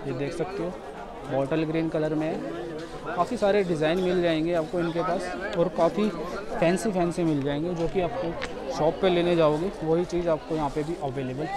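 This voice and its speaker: A man giving a casual market tour as a vlogger, often repeating himself and addressing viewers as brother, recorded outdoors in a street market. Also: a little high. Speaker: vlogger and host. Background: crowd chatter.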